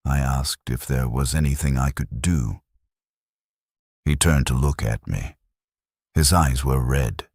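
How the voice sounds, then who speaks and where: A man narrating a scripted first-person war story in a grave, measured way. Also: a bass voice, a little mellow, no echo, studio quality.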